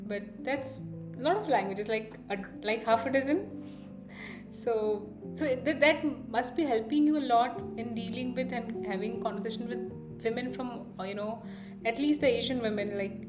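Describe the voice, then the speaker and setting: Chatty woman podcast host. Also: on the high side. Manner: chatty, warm questions full of "you know" and "like". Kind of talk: conversational interview. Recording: echoey room with music behind.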